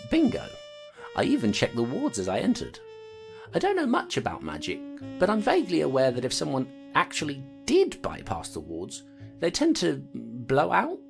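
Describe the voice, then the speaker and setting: A tough, wry constable in an audio drama, her voice low but swinging widely. She talks bluntly and guards what she says. Music plays behind her. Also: wildly swooping pitch, normal speed, vocal fry, full character voice. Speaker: constable narrating her case. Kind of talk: audio drama narration and dialogue.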